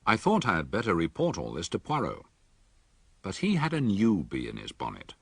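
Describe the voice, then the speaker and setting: Guy as measured curious doctor sidekick in a radio play, low husky voice, swings around a lot. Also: huge pitch swings, a bit bright, no echo, heavily acted. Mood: narrating, reflective. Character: measured, inquisitive gentleman doctor, narrator and sidekick. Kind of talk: radio drama acting and first-person narration.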